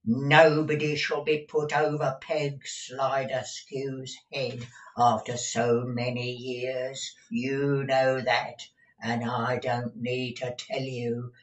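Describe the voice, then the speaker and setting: A man voicing a deaf, blunt, cantankerous old housekeeper woman in a storybook reading, with drawn-out querulous rustic speech. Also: medium pitch, level tone, pitched above the performer's own voice, natural voice. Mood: threatening. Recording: distant, echoey room recording.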